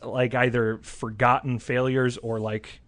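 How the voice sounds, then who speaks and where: A man discussing films casually on a podcast, thinking aloud in a halting way with repeated words. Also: medium pitch, flat delivery, a bit bright. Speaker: film podcast panelist.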